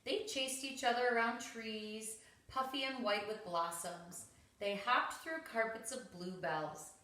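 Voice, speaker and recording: A woman's voice: on the high side, a little slow, sharp tone. A woman reading a children's story aloud, expressively and with dramatic emphasis. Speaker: storybook reader. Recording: roomy, echoing home recording.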